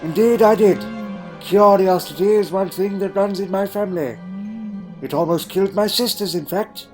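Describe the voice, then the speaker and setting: A haunted old alien wanderer, played by a man in an audio drama, with a notably high voice for a male. He recounts his past in a grave, deliberate manner, humming as he ponders, with music playing underneath. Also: darkish tone, close to an everyday voice. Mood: reflective. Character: elderly male alien time traveller, haunted and secretive. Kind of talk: fan audio drama acting.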